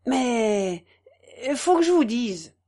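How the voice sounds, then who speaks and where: A woman's voice: fairly high pitch, sing-song, very slow, dark tone, exaggerated voice. A woman voicing a talkative middle-aged peasant farm wife with emphatic, rustic country speech. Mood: hesitant.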